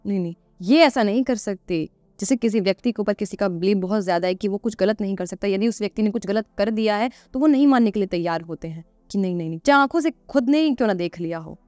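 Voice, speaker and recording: A woman's voice: medium pitch, a little mellow, no echo. A woman guest on a podcast, explaining earnestly and conversationally, repeating phrases for emphasis and posing rhetorical questions.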